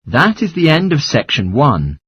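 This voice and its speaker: A man with a husky voice reading scripted exam instructions as a test narrator. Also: fairly high pitch, huge pitch swings, moderate pace, a bit bright, no echo.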